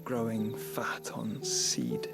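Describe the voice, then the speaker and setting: An actor playing a weary, dignified young nobleman, speaking in measured, eloquent and solemn phrases for a video game cutscene. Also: fairly low pitch, big pitch swings, vocal fry, in a whisper, loud music behind the voice, heavily acted. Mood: grave, mournful.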